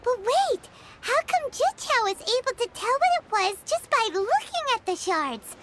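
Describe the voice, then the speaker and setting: A woman voicing a tiny, excitable girlish travel companion in a game, eager and quick to exclaim. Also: very high voice, very noisy, full character voice. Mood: curious. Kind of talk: game voice acting. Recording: studio voice over game music.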